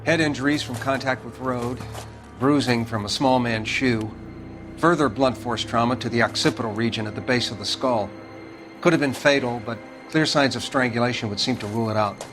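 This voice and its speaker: A man's voice: mid-range voice, normal speed, over music, lightly acted. A man playing a weary, matter-of-fact coroner in a video game, speaking in a dry, clinical way.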